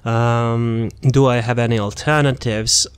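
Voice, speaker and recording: A man's voice: medium pitch, steady tone, measured pace, clear, resonant tone. A man giving a casual, unscripted game build walkthrough in a rambling, informal way, thinking aloud as he goes. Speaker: gaming video creator presenting a character build.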